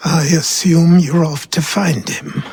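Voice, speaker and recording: An actor playing a weary, wise old mentor with a husky voice and a grave, probing manner in a game cutscene. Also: male voice, a little high, fairly even intonation, takes it slowly, a little mellow, heavily acted. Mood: sceptical. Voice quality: husky, rasping. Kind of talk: video game cutscene acting.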